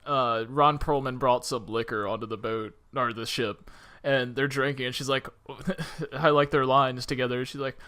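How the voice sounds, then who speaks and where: A man's voice: mid-range voice, steady tone, normal speed, pushed and bright. A man co-hosting a film podcast, talking casually and animatedly, often with a giggle in his speech.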